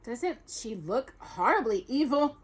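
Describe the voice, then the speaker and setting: A woman's voice: a little high, very animated, a little slow, a little hiss or hum. A woman reading a children's story aloud with dramatic, emphatic narration. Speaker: storytime reader.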